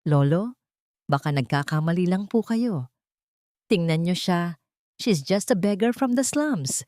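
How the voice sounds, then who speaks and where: A woman's voice: lowish voice, animated, measured pace, mellow, no echo, studio quality. A woman playing a scornful young rich granddaughter in an audio drama, speaking in an emphatic, cutting manner. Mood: cold, insistent.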